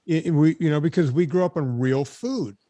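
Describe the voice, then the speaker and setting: A man in his late fifties hosts a podcast in a chatty, storytelling way and breaks in with quick questions. His voice sits fairly high for a man. The sound is dry and close, with no room behind it. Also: warm tone, dry recording. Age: 50s. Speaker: podcast host. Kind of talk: casual interview chat.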